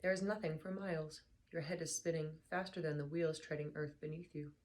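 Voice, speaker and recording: A young woman with a hoarse, slightly lost voice, sounding tired, chatting casually and then reading a book aloud softly. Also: deep voice, fairly even intonation. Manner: tired, soft and unhurried. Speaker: book reader vlogger.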